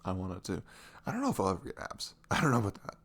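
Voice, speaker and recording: Deep, husky, gravelly man, intimate ASMR. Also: huge pitch swings, rapid-fire, fairly bright tone. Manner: playful, rambling, breathy asides dropping into whispers. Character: sweet, caring boyfriend chatting the morning after. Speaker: audio roleplay performer. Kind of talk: intimate ASMR boyfriend roleplay, casual rambling.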